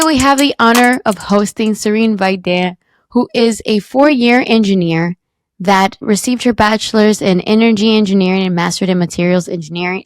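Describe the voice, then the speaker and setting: A woman's voice: lowish voice, dry recording. A woman hosting an interview, giving polite introductions and asking questions. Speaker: host.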